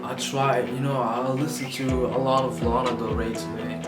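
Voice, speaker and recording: A man's voice: mid-range voice, level tone, normal speed. Young man, from Vietnam, rambling vlog talk, roomy echo, guitar music behind. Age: 20s. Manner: rambling, self-conscious, swears casually. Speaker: vlogger and musician. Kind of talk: rambling casual monologue to camera. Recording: roomy sound with music underneath.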